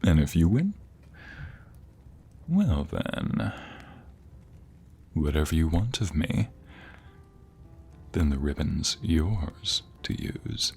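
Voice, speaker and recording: Deep, gravelly, affectionate husband played by a man. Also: sing-song, a little hiss or hum, exaggerated voice. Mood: flirty, teasing.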